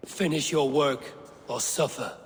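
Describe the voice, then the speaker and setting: An actor playing a grave, authoritative high god in a video game, speaking tersely and with command. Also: male voice, a little slow, gravelly, a little hiss or hum. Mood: grave, threatening. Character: stern, commanding male Norse high god. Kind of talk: dramatic video game acting. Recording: long reverb, faint music behind.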